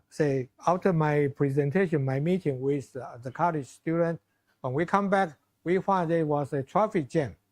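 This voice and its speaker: A middle-aged man with a Chinese accent giving informal closing remarks to a class of students, often pausing and restarting his phrases with many fillers. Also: fairly high pitch. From China. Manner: halting, restarts phrases, many fillers, asks audience for questions. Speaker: visiting lecturer.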